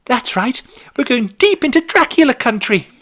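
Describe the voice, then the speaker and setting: A man putting on a high, excitable falsetto for a chattering friend in a spoken story. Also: moderate pace, full character voice. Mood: chirpy.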